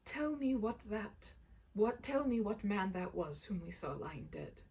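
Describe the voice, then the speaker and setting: A woman voicing a solemn old miser from a storybook, trembling and pleading in a halting, breathy way. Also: mid-range voice, very animated, a little mellow, distant mic. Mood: grave.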